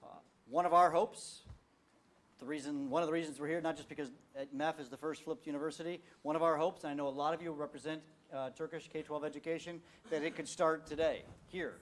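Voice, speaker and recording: A man on a conference panel answering audience questions, energetic and rallying, often restarting his sentences. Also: a little high, brisk. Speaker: panel speaker at education summit. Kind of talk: live Q&A answers on stage.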